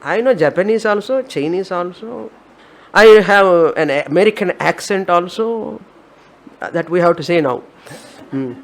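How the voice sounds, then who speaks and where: A woman's voice: lowish voice, expressive, measured pace. A woman with an Indian accent, a spiritual teacher giving an emphatic, repetitive discourse on scripture.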